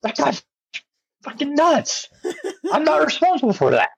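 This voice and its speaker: A woman's voice: sits high, huge pitch swings, normal speed, creaky. A woman co-hosting a casual podcast chat, tossing in joking quotes. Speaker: podcast co-host.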